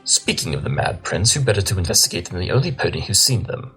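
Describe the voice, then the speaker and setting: A man's voice: low voice, sing-song, quick pace, a bit bright, breathy edge, soft background music, full character voice. A man playing an eccentric, self-assured pony detective in a cartoon audio drama, articulate, formal and cheerfully dry. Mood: matter-of-fact.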